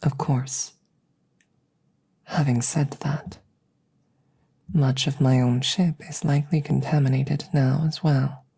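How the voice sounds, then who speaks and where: A woman voicing a curious, cautious alien scientist in a soft, hushed ASMR roleplay, speaking formally and deliberately and slipping into whispers. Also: rumbling low, steady tone, creaky, slightly rough, heavily acted. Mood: grave concern. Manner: measured, formal, deliberate phrasing.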